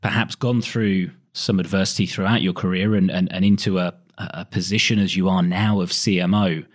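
Low-voiced man hosting a podcast. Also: fairly even intonation, moderate pace. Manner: encouraging, asks long winding questions. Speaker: podcast host. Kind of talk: podcast interview.

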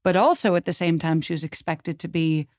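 A woman with a slightly low voice speaks as a podcast guest, explaining history in an informal, conversational way. She is enthusiastic and opinionated, and the sound is dry, with very little room in it. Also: a little fast, a little mellow, no echo.

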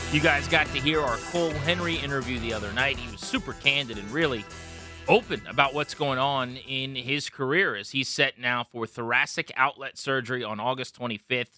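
A man's voice: medium pitch, pushed and bright. An American man hosting a sports podcast, talking fluently and emphatically as he comments and interviews.

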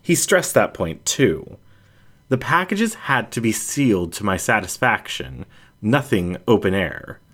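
A man narrating a first-person story from a script in a measured, deliberate way with emphatic phrasing. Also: on the low side, sing-song, a bit bright. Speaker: audiobook reader.

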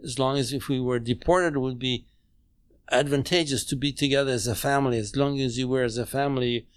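A man in his nineties who grew up speaking German, recounting memories in a halting, measured way with many restarts, at home. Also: mid-range voice, fairly even intonation, clear, resonant tone, no room sound. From Poland. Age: 90s. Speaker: interview guest. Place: home dining room.

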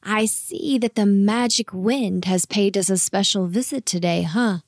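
A kindly old storybook goat, acted out by a woman, wise and courteous. He speaks slowly and gently, with a creaky edge, encouraging in an old-fashioned way. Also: medium pitch, dry recording, studio quality. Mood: warm, welcoming. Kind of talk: children's storybook character voice.

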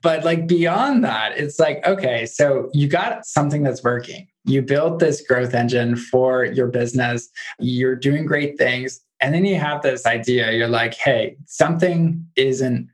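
A man's voice: medium pitch, measured pace. A man hosting a podcast, chatting casually and saying "like" often. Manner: chatty, many "like" fillers. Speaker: podcast host. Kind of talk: casual interview chat.

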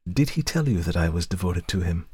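A man voicing a calm, cold foreign woman in an audiobook, quiet and deliberate, with hatred smoldering underneath. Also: mid-range voice, breathy edge, no echo, voice pushed up. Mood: scornful. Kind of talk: audiobook character voice.

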